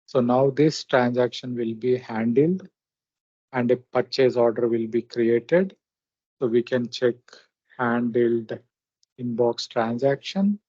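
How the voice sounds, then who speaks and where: A man with a mellow voice presents a software walkthrough at a slow pace. He goes step by step in short, halting phrases. The recording is dry and close. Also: mid-range voice, dry recording. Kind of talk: step-by-step screen demonstration narration. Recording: dull, lo-fi close microphone recording.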